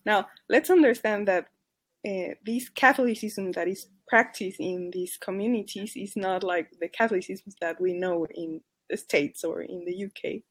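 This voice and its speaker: Mexican-accented woman guest, mid-pitched, earnest, self-correcting storyteller. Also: normal speed, breathy edge. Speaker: charity advocacy worker. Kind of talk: podcast guest telling a case story.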